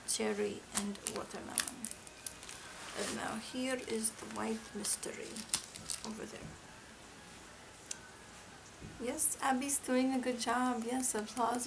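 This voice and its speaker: A young woman voicing a soft-spoken cartoon monster doll girl with a put-on foreign accent, murmuring and whispering in an ASMR roleplay over a very noisy home recording. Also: on the high side, fairly even intonation, unhurried, creaky. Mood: pleased. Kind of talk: ASMR roleplay, unhurried sorting narration. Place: indoor living room floor, home. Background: loud hiss, crinkling wrappers, clicks.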